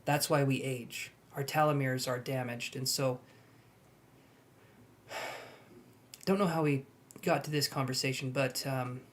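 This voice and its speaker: A young man reading tarot on a live stream, chatting and gently reassuring his viewers. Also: mid-range voice, steady tone, a little slow, pauses often. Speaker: tarot reader.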